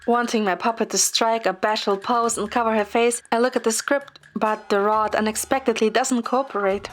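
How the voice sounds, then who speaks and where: A woman's voice: a little high, fairly even intonation, normal speed. A woman reading scripted first-person story narration. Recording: music behind the voice. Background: music.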